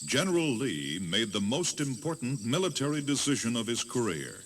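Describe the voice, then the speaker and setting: A man giving solemn, measured, dramatic scripted narration as a tour guide. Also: mid-range voice, very animated, slight background noise.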